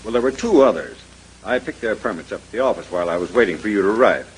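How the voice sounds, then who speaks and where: An actor playing a fussy, opinionated museum doctor in a 1930s radio mystery, confiding and a little hesitant, with a husky voice. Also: male voice, fairly low pitch, expressive, fast talker, slight background noise, close to an everyday voice, low-quality recording.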